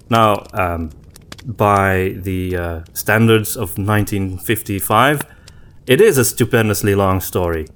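Casting: male podcast co-host, slightly low pitch, conversational, reasons tentatively out loud. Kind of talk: casual discussion of storytelling.

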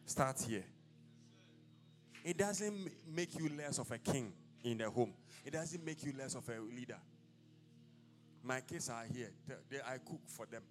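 A man's voice: medium pitch, expressive, a little slow, long pauses, faint music behind. A man with a Ghanaian accent, an apostle preaching a sermon in a church service, declaiming in rising bursts and dropping into casual asides.

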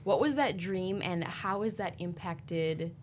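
A woman co-hosting an interview, asking her question slowly and in a measured way. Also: on the low side, a little hiss or hum. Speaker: podcast co-host.

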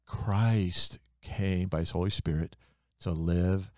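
Middle-aged guy, super low voice, earnest faith story, dry close mic. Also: slow pace, dry recording. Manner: earnest storyteller, lively when excited.

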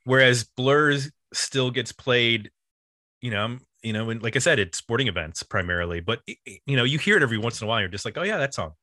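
Guy on a music podcast chatting through band history, bright voice, really dry close sound. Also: medium pitch, expressive, fast, dry recording. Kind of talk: casual discussion of an album's history.

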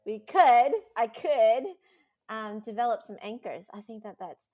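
A woman's voice: on the high side, unhurried, dark tone. Laughing, rambling woman on a podcast. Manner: laughs often, rambling and enthusiastic. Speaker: podcast guest. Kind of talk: casual podcast chat.

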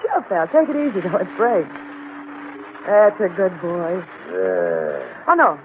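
A woman's voice: mid-range voice, very animated, measured pace, phone-quality sound. An actress playing a headstrong, playful woman in 1940s radio drama style, theatrical in manner. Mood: coaxing. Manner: coaxing, theatrical. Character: headstrong, playful woman devoted to her pet gorilla. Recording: noisy band-limited old radio recording.

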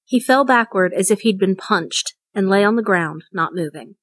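A woman's voice: mid-range voice, expressive, moderate pace, no echo. A woman reading a short story aloud as scripted narration. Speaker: story reader.

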